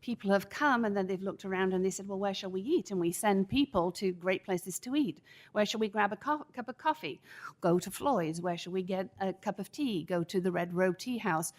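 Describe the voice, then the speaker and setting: Middle-aged woman testifying with a big, projecting voice. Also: mid-range voice. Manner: measured, emphatic, deliberate. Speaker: public commenter at city council. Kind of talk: formal public testimony. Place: council chamber. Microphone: podium microphone.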